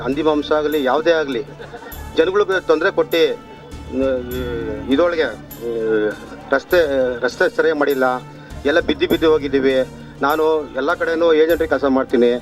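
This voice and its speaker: A local man with a somewhat high voice makes a pleading, halting appeal. He repeats himself, recorded outdoors with faint music behind. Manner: pleading, repetitive. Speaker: local resident.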